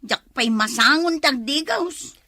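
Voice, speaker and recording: A woman plays a high-voiced, bright nagging wife who yells across the house and scolds her husband. Her voice is crisp, high and close to the mic, with a dry, dead sound. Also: lively intonation, a little slow, dry recording, heavily acted. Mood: chatty. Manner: shouting, scolding. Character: loud nagging wife who won't bathe. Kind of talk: comedy web drama acting.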